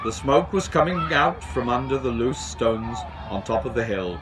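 A man in his fifties reading a children's story aloud outdoors in a measured, expressive storytelling manner. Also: medium pitch. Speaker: storybook reader. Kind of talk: scripted children's story narration read aloud. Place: outdoors on a garden bench at a railway.

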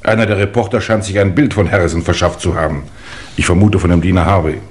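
A male actor as a grave police investigator in a fifties radio drama. He sounds courteous and official, speaking in a fairly low, measured voice. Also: fairly even intonation, natural voice. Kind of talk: 1950s German radio play acting.

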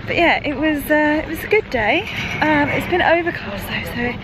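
A woman's voice: high-pitched, animated, normal speed. A young woman chatting casually to a handheld camera as a travel vlogger, laughing often. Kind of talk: casual vlog chat. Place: outdoors on a pier, then a hotel room. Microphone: handheld camera at arm's length. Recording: noisy handheld camera audio.

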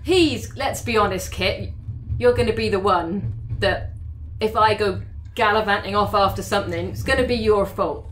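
A woman's voice: mid-range voice, normal speed, hardly any pauses, fairly bright tone, a little hiss or hum. A woman playing a character in a tabletop game, chatty and teasing. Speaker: player. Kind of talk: tabletop actual play, in character.

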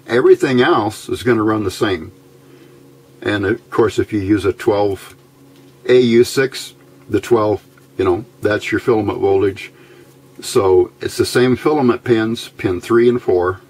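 A man with a fairly low voice presents a casual electronics tutorial. He talks very slowly and methodically, pausing as he picks his words. His delivery is plain-spoken, that of a hobbyist explaining his work at the bench. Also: a little mellow, slightly rough. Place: indoors at a workbench.

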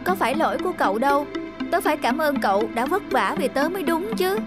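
An earnest, polite youngster in a children's cartoon. The voice is very high and speaks like a keen young friend, with music loud behind it. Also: female voice, animated, fast. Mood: hesitant, concerned.

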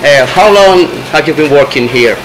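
A man's voice: a little high, animated, moderate pace. A middle-aged man hosting a local business interview, friendly and loose, with many "uh" fillers. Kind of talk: casual interview. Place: small bakery cafe, indoors. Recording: echoey room with background noise.

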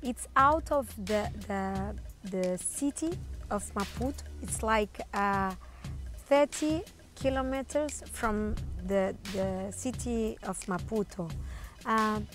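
A woman explaining an arts project, halting and correcting herself as she talks. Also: medium pitch, a bit bright, faint music behind. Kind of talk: explanatory narration.

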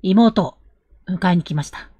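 A woman playing a polite, reserved, determined young traveller in a period audio drama, speaking formally and with measured care. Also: on the low side, dark tone, husky, dry recording. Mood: calm, guarded.

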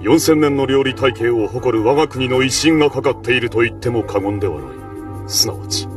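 A man's voice: mid-range voice, animated, normal speed, a little mellow, distant mic, faint music behind, natural voice. A man playing a stern, imposing imperial official in an anime, speaking in a pompous, grandiloquent, authoritative way. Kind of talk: Japanese anime acting.

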